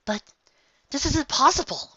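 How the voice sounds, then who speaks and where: Woman doing a frantic screaming unicorn princess, high and shrill, lo-fi. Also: lively intonation, a little fast, recorded from a distance. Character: panicked young female unicorn princess.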